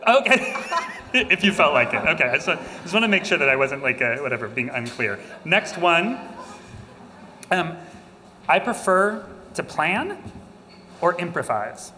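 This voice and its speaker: A man leading a group exercise as a workshop facilitator, playful and quick with laughing asides. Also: a little high, sing-song, bright, forceful tone. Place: large hall with a live group. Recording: long hall reverb, clear ambient noise.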